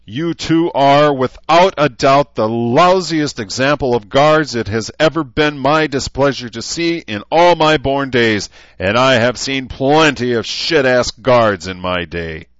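A man voicing a gruff, hot-tempered veteran drill sergeant who growls, barks and swears. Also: low voice, expressive, a little fast, hardly any pauses, fairly bright tone, no echo, highs cut off. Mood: furious shouting. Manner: barking, growling, profane.

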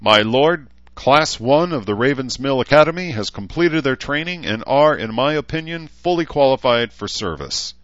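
A man voicing a formal gunnery sergeant in an audiobook, with crisp, loud military delivery. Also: medium pitch, animated, normal speed, hardly any pauses, no room sound, highs cut off, voice pushed up, natural voice. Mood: formal, proud.

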